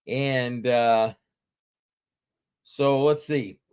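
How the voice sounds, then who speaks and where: Middle-aged man with a Massachusetts accent, relaxed chatty livestream host. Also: medium pitch, drawn-out words, clear, resonant tone. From Southeastern Massachusetts. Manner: relaxed, chatty, drinking beer. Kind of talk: casual live chat.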